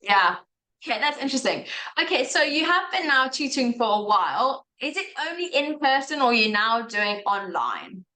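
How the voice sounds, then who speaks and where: Bright-voiced woman hosting an interview. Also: a little high, sing-song, moderate pace. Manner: friendly, asks questions with fillers. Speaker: interview host. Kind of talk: casual interview.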